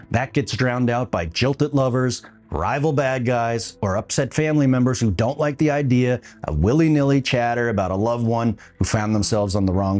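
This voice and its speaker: A man's voice: medium pitch, darkish tone. A man hosting a podcast, delivering scripted opinion commentary with a wry, dry humour. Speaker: podcast host.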